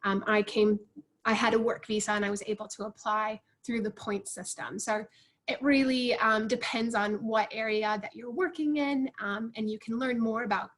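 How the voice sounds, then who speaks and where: A woman's voice: a little high, steady tone, normal speed, a bit bright. A woman with an American accent who moved abroad, answering questions on a webinar in an encouraging, friendly way. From United States. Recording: online video call.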